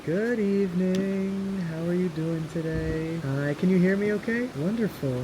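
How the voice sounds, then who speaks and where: A man's voice: a little high, noisy background. An upbeat, chatty young man from New York, a vlogger and yoga teacher whose first language is Hebrew, talking casually to camera. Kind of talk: casual vlog talk to camera.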